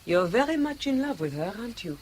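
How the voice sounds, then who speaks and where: A no-nonsense wife in an old radio drama, blunt yet caring. Her pitch moves freely, and a faint hiss sits under the recording. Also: big pitch swings, a little fast. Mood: concerned. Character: sharp-tongued, motherly hostess wife, no-nonsense. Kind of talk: 1970s British radio drama acting.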